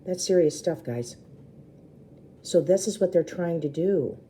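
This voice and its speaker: A woman's voice: on the low side, a little slow, warm tone, slight background noise. A woman storyteller reading a children's book aloud expressively, with brief explanatory asides to listeners.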